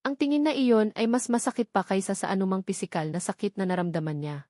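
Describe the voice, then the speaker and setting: A woman's voice: mid-range voice, lively intonation, fast talker, warm tone, dry recording, studio quality. A woman narrating a scripted dramatic love story.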